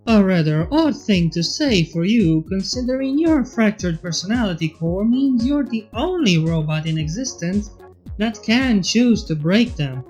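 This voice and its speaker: A man's voice: sits high, expressive, measured pace, a little mellow, faint music behind, low-quality recording. A man voicing a wry, needling robot scientist with a probing manner in a fan fiction reading.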